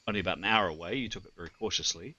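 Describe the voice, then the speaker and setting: A man running a tabletop game as game master, thinking out loud and correcting himself as he goes. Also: low voice, racing, husky, muffled recording. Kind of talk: casual tabletop game narration and adjudication.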